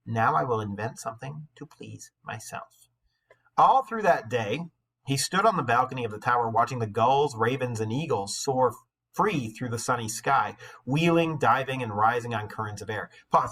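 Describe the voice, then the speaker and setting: A man with a bright, forceful voice and a husky edge, reading and explaining a story like a high school English teacher. His phrasing is dramatic and emphatic, at a medium pitch. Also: poor audio. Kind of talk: expressive story read-aloud with teaching asides.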